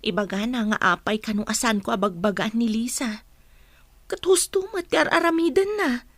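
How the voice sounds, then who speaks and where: A woman's voice: fairly high pitch, moderate pace, fairly bright tone, no room sound. A woman with an Ilocano accent playing a worried, caring mother and housewife in a radio comedy drama, speaking earnestly.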